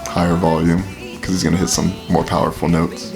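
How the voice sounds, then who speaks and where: A man with a very deep voice giving casual, filler-heavy commentary while reacting to a song. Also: monotone, a little fast, creaky. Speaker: reaction video host. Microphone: headphones with mic. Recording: music playing under the voice. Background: music playing.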